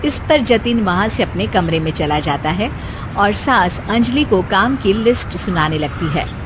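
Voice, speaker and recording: A woman's voice: mid-range voice, animated, unhurried, background noise. A woman narrator reading a scripted moral story for a cartoon.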